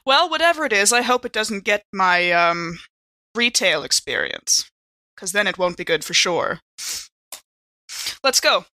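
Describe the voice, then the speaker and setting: A woman's voice: medium pitch, expressive, a little slow, pauses often, pushed and bright, dry recording. A woman voicing an eccentric, prim, drily droll woman in a tabletop game, with an arch, hesitant, mock-grand manner.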